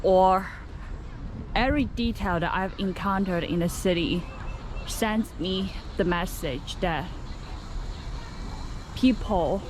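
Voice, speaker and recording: A woman's voice: medium pitch, takes it slowly, long pauses. A young woman from southern China with a Cantonese accent, chatting casually as a travel vlogger outdoors in a city and musing with fillers. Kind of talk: casual travel vlog narration. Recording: outdoor street noise with traffic.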